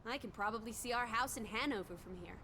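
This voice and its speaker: A woman's voice: fairly high pitch, quick pace, sharp tone, a little hiss or hum. An actress playing a playful young 1920s American woman in a video game, teasing in jaunty old-fashioned slang. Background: music.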